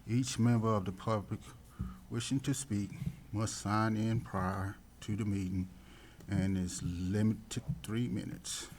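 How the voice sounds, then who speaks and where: A man with a fairly low voice presides over a council meeting. He moves through procedure politely and informally, with some background noise in the room. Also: steady tone, measured pace, a little mellow. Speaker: mayor chairing council meeting.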